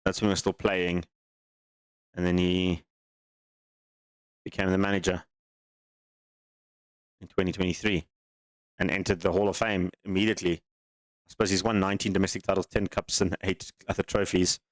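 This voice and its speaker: A man's voice: low-pitched, level tone, moderate pace, long pauses, dry recording, poor audio. A man hosting a gaming channel, casually commenting on his game save as he thinks aloud, rambles and questions himself.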